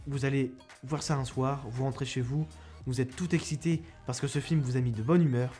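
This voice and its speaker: A man's voice: medium pitch, steady tone, moderate pace, mellow, soft background music. A young man giving a casual spoken film review, rambling and self-mocking with many restarts, close to a microphone.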